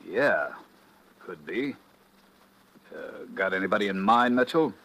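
An actor playing a wary plantation owner, insinuating and needling, in a 1950s radio drama. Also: male voice, low voice, animated, measured pace, gravelly, a bit bright, full character voice. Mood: needling, guarded. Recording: noisy old radio broadcast.

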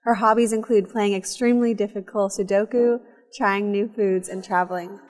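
A woman's voice: mid-range voice, fairly even intonation, normal speed, darkish tone, long reverb tail. A woman hosting a podcast, reading sponsor notes and a guest bio, then interviewing in an earnest conversational way in a cafe. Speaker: podcast host. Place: cafe, live recording.

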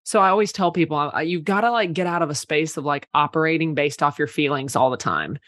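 A woman in her thirties with a low voice chats casually as a podcast guest. She tells stories with lots of "like" fillers. The sound is dry and close. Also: quick pace, no room sound. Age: 30s. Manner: chatty, many "like" fillers, animated storytelling. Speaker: PR company owner, guest.

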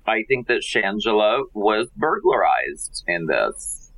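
A man's voice: on the low side, sing-song, slow pace, fairly bright tone, low-quality recording. Mock-outraged male co-host. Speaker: podcast co-host. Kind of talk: casual recap chat.

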